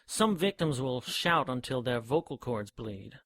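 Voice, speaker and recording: A man's voice: mid-range voice, lively intonation, a little slow, fairly bright tone, no echo. An actor playing an eager, eccentric scientist in a period radio drama, precise and earnest as he lectures. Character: eager eccentric male scientist, brother of a detective.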